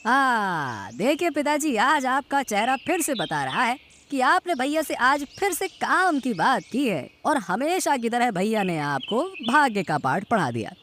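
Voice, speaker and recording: A woman's voice: on the high side, very animated, a little slow, few pauses, fairly bright tone, slight background noise. A woman playing a caring, reassuring younger son in a cartoon moral story. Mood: sympathetic. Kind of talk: animated moral story dialogue. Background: background music.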